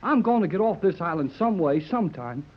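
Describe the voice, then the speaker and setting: Casting: plucky young captive, slangy and blunt, very lively intonation, muffled old radio sound. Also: male voice, high voice, fairly quick. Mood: defiant. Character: brash, defiant young man held prisoner. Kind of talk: 1930s radio serial acting. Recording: dull, muffled 1930s radio recording.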